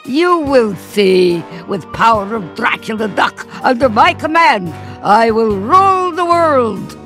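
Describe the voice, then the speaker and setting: An actress playing a scheming, gloating duck sorceress in a cartoon game, theatrical, with a put-on Eastern European accent. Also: female voice, a little high, expressive, takes it slowly, fairly bright tone, faint music behind. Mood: menacing, sly. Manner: theatrical, cackling villain delivery.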